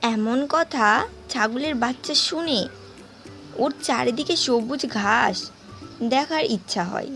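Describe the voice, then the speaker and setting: Slow-paced, higher-pitched woman storyteller. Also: fairly bright tone, faint music behind. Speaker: story narrator. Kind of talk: scripted storybook narration.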